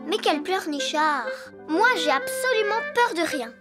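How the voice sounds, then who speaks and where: Very high, bold little girl elephant. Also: expressive, a little slow, loud music behind the voice. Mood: bossy, confident. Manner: confident, emphatic, exclamatory. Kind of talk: animated children's cartoon acting.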